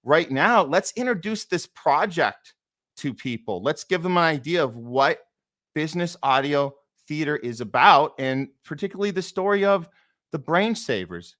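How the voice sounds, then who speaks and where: A man's voice: a little high, expressive, moderate pace, a bit bright. A man hosting a talk show in a casual, conversational style. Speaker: show host.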